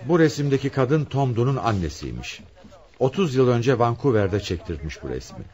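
An actor playing a commanding police commissioner in a radio play, authoritative, deliberate and probing. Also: male voice, medium pitch, big pitch swings, darkish tone, highs cut off, lightly acted. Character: commanding male police commissioner leading a murder investigation. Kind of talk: radio theatre acting.

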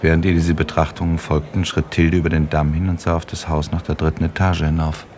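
A man narrating a literary German radio play as a calm, detached storyteller. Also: extremely low pitch, fairly quick, a little hiss or hum.

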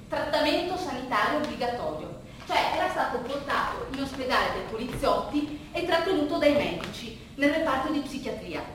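A woman with a high voice tells a story as a theatrical monologue. She phrases emphatically, leaning on repetition and dramatic pauses. The recording is heavily reverberant and slightly distant, with faint noise. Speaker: stage monologue performer and narrator. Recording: echoey room, distant mic, faint noise.

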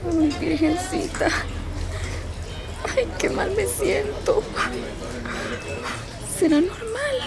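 A woman's voice: very high voice, full character voice. Piercing, whiny girl.

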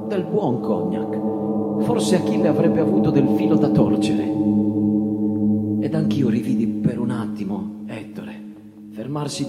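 An actor playing a wistful Italian officer of the First World War, reciting his trench memoir theatrically, with drawn-out words and swelling, fading emphasis. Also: medium pitch, close to an everyday voice. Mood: animated, musing, then vivid, emphatic. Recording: echoing voice mixed with music. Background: guitar and percussion music.

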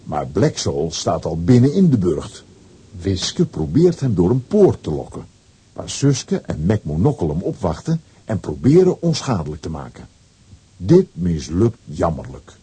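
A man narrating a children's audio adventure story in a dramatic, scripted way with a dark, husky voice. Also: on the low side.

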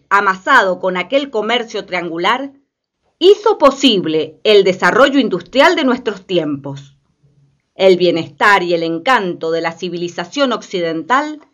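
A woman's voice: mid-range voice, a bit bright. A woman giving solemn, emphatic scripted narration for a radio play.

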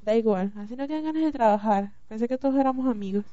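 A woman's voice: a little high, fast, dark tone, dry recording, lightly acted. A woman playing a bossy, sarcastic yet forgiving young witch prefect in an amateur radio play, with a dry delivery. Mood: aggrieved. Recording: dull home-made recording.

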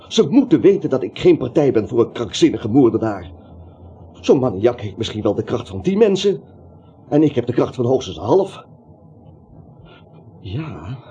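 A man's voice: mid-range voice, dark tone, lightly acted. A male actor playing an anxious, timid little man in a radio play, jittery, rambling and stammering. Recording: dull, slightly noisy radio recording.

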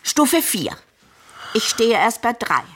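An actress playing a haughty elderly madame in a German radio play, imperious, brisk and theatrical. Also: female voice, a little high, big pitch swings, a bit bright, slight background noise, heavily acted. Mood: brisk, scornful. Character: haughty elderly madame of a shabby care household.

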